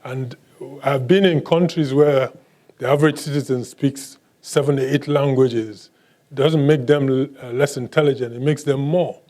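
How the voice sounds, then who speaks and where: A man whose first language is Igbo, answering questions at a book talk in a mellow, dark-toned voice. He speaks slowly and haltingly, with many restarts. Now and then he adds a bit of dry, self-mocking humor. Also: medium pitch, steady tone. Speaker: author.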